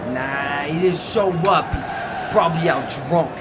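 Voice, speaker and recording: A man's voice: a little high, unhurried, crowd noise, noisy background, natural voice. Young American lightweight fighter male actor, brusque and evasive, short tense answers, 1940s radio acting. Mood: guarded, irritated. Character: edgy, curt young lightweight boxer.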